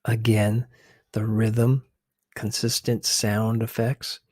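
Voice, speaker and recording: A man with a lowish, husky voice talks softly and without hurry, like an ASMR host commenting on clips. He is a little hesitant, and the sound is dry and close. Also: little pitch movement, slow, no echo. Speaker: host of ASMR video channel.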